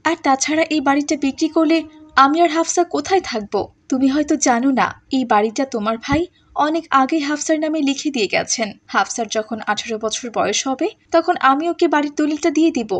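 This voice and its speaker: A woman voices a widowed stepmother in a moral cartoon. She is high-voiced and earnest, gentle in nature yet firm when she protests. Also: a little fast, close to an everyday voice. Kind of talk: Bengali cartoon voice-over.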